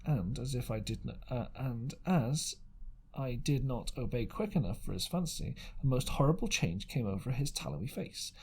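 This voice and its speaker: A man narrating an adventure audiobook as an amateur reader, dramatic in style but halting, stumbling over phrases and rereading them. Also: mid-range voice, darkish tone, slightly rough.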